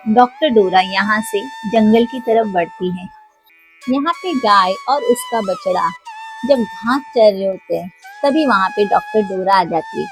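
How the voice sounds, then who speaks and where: A woman's voice: medium pitch, wildly swooping pitch, a little slow, over music, rough recording. A woman narrating a scripted moral story for children as a storyteller.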